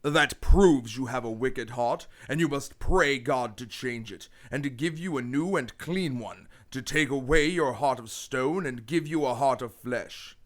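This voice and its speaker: A man playing a stern, pompous middle-aged gentleman who preaches sanctimoniously at a child in a radio play. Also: mid-range voice, lightly acted. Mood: preaching, severe. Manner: pompous, slow deliberate preaching with mock-shocked chuckles.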